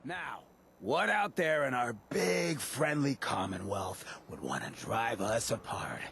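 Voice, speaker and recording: A gravelly rabble-rouser works the crowd in a game scene. His delivery is animated and swaggering, with dramatic pauses and the charisma of a street orator. Faint ambient noise sits behind him. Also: medium pitch, full character voice. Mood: swaggering, rousing. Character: swaggering, charismatic mayor of freaks rallying his followers. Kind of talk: rousing speech in a video game.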